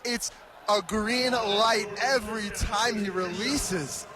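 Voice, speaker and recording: Bright, high-voiced male colour commentator. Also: slow pace, slight background noise. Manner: incredulous, exclamatory. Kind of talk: live sports commentary, spontaneous reactions. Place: basketball arena.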